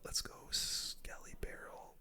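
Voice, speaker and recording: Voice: takes it slowly, sharp tone. A young adult streamer whispering casual, slangy gaming commentary in an ASMR style, close to the microphone. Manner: casual gamer slang, addresses viewers as dude and guys. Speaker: video game streamer.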